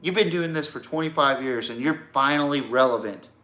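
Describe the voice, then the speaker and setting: A man's voice: mid-range voice, fairly quick, sharp tone, highs cut off. A man hosting a sports podcast, delivering a blunt, confrontational rant in slangy, informal speech. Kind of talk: combative sports rant addressed to a rival. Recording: echoey room.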